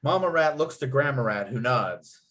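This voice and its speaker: A man's voice: mid-range voice, lively intonation, moderate pace, a bit bright. A man narrating at a script read, reading out stage directions and scene headings.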